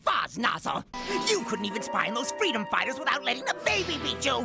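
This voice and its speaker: An actor voicing a vain, tantrum-prone ghost tyrant in a cartoon, pompous and theatrical, with a gravelly edge, hurling exclamatory insults. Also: medium pitch, huge pitch swings, fairly quick, over loud music. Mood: scornful fury. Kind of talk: theatrical cartoon villain acting.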